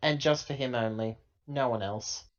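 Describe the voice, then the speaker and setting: A woman's voice: a bass voice, moderate pace, lo-fi sound. A woman voicing a brusque, disgusted female drinker in a sci-fi tabletop game. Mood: disgusted, curt. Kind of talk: tabletop roleplay.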